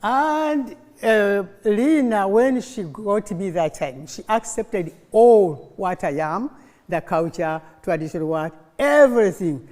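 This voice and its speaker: Mature female conference speaker, Ugandan accent, native Luganda, unhurried delivery, animated storytelling in a reverberant hall. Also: mid-range voice. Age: middle-aged or older. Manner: animated storytelling, prompting listeners with questions. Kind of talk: informal conference speech with audience call-and-response. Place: conference hall, live talk to an audience. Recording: echoey hall, long reverb.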